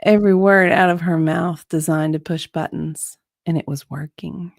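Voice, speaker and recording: A woman's voice: fairly low pitch, fairly even intonation, moderate pace, vocal fry, dry recording, clean studio sound. A woman narrating a romance story as a dramatic reading, in a sultry, suspenseful manner.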